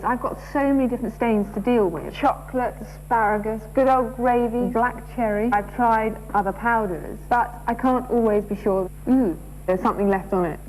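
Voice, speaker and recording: A woman's voice: a little high, a little mellow, rough recording. A woman who runs a catering business, giving an earnest, enthusiastic testimonial for a commercial. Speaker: caterer, small business owner. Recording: faint noise and hum.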